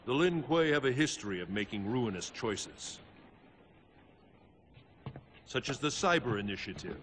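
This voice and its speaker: An actor playing a stern, solemn guardian god in a video game, speaking in a grave, measured, formal way. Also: male voice, medium pitch, lively intonation, a bit bright, slight background noise. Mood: grave, regretful. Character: solemn, stern male thunder god, protective mentor. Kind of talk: video game cutscene acting.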